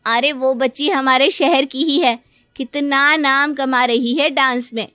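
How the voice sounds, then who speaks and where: Woman playing a polite, high-voiced cartoon mother. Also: steady tone, a little slow, pushed and bright, no room sound, clean studio sound. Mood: admiring. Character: polite, admiring working mother, a family acquaintance. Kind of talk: acted cartoon story dialogue. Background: music.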